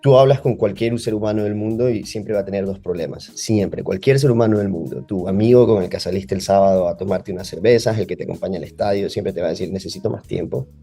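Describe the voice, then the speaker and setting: A man with a low, dark, mellow voice and an Ecuadorian Spanish accent. He explains things at length and fills the gaps with many hesitations. Faint music plays behind him. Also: fast talker, hardly any pauses, slightly rough. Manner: explanatory, uses many fillers. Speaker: guest. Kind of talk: podcast interview.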